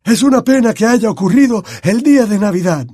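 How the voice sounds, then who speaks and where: A genial old headmaster, as a male narrator puts him on, cheerful yet firm. His voice is pitched up and a little husky, with lively, bouncing intonation. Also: brisk, dry recording, studio quality.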